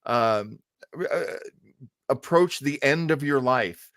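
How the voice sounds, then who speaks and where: A man's voice: medium pitch, animated, slow pace, fairly bright tone, no room sound. Casual man chatting reflectively, dry sound. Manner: reflective, informal, searching for words. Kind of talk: casual chat.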